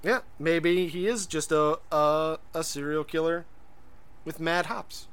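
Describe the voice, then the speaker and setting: A man's voice: on the high side, fairly even intonation, slow pace, fairly bright tone, clear, resonant tone. A man co-hosting a podcast in casual chat, rambling and hesitant, full of fillers and restarts. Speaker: podcast co-host.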